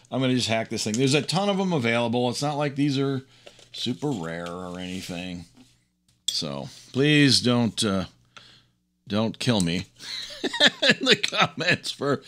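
A man's voice: medium pitch, fairly bright tone. A middle-aged man giving casual, unscripted hands-on commentary as a hobbyist host, rambling along with enthusiasm. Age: middle-aged or older. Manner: rambling, enthusiastic, chuckles often. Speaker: hobbyist host of a teardown video. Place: indoors at a workbench.

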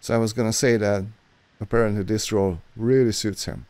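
A man in his thirties from Hungary with a Hungarian accent, chatting excitedly and correcting himself as he reacts to an old film at a studio mic. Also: on the low side, a little fast, dry recording. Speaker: movie reaction host. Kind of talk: casual reaction commentary. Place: small dark home studio. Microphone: studio mic on boom arm, headphones.

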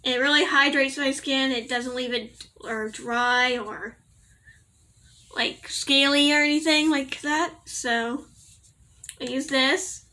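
Young woman, high bright voice, slightly raspy, giggly and rambling, small bedroom, laptop camera. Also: steady tone, slow. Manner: giggly, rambling, self-correcting. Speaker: vlogger. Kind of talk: casual product review to camera.

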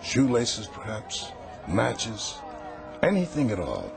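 An actor playing a calm, knowing old street peddler in a radio drama, with a gravelly voice. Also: male voice, lowish voice, takes it slowly, crowd noise, heavily acted. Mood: soft-spoken. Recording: music underneath.

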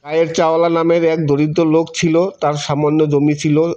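A man's voice: fairly high pitch, flat delivery, moderate pace, a little mellow. A Bengali man giving step-by-step tutorial narration in a repetitive, instructional manner. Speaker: tutorial presenter. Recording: dull, muffled lo-fi voice-over.

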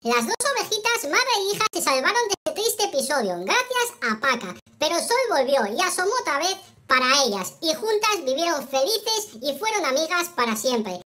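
A performer voicing a cute little kitten who tells a children's story in a friendly, expressive, childlike way. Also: sits high, normal speed, hardly any pauses, exaggerated voice. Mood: cheerful storytelling. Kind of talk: children's storytelling, scripted narration.

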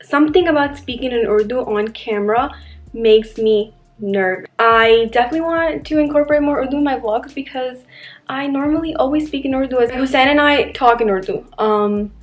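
A woman's voice: sits high, moderate pace, reverberant. A young woman from New York chatting candidly to camera in her bedroom, casual and full of fillers. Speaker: lifestyle vlogger. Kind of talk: casual vlog chat to camera. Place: bedroom indoors.

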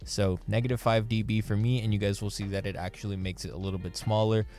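A young man talks straight to his viewers in a lowish, chatty voice, upbeat and easy. He sits close to a podcast mic on a boom arm in a small, dim bedroom studio. Faint music hums underneath. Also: flat delivery, quick pace, a little mellow. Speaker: YouTuber teaching audio editing. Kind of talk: casual tutorial.

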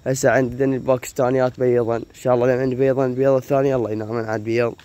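A hoarse man with an Iraqi Arabic accent, chatting casually to viewers while filming his rooftop pigeon loft on a phone. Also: medium pitch, flat delivery, racing, warm tone. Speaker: pigeon keeper vlogger. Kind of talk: casual vlog. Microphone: phone camera held while walking.

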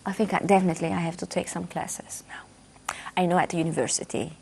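Gravelly-voiced woman in a studio interview. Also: fairly low pitch, little pitch movement, fairly quick, a little mellow, a little hiss or hum. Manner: thoughtful, repeats words while searching for phrasing. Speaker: musician guest. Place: dark television studio, seated.

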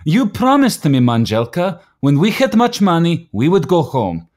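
A man acting in a radio play as a proud, hot-tempered Slovak immigrant husband, with a Slovak accent and halting English. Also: a little high, wildly swooping pitch, darkish tone. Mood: hopeful, earnest. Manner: halting English, slow and deliberate.

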